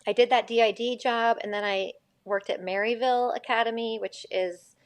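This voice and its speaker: A woman's voice: fairly high pitch, fairly even intonation, moderate pace. A woman in her fifties from Connecticut, a school psychologist chatting as a podcast guest, rambling and correcting herself as she goes. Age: 50s. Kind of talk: casual podcast interview.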